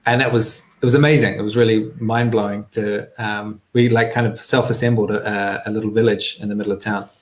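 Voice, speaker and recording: A man's voice: fairly low pitch, fairly even intonation, a little fast, hardly any pauses. A man in his thirties with a New Zealand accent, telling his own story as an interview guest in a reflective, searching way. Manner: reflective, hesitant, searching for words. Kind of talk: conversational personal storytelling.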